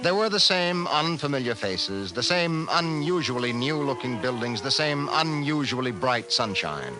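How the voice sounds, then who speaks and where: Casting: male narrator for vintage sci-fi radio drama, ominous, animated intonation over prominent music. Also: medium pitch, moderate pace, lightly acted, rough recording. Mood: dramatic, suspenseful. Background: loud dramatic music.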